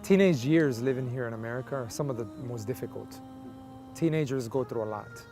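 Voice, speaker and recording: A man's voice: mid-range voice, fairly quick, dark tone, soft background music. A man from Colombia, interviewed, telling his life story in a reflective, casual way, often using "like" as a filler. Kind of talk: personal testimony, casual storytelling.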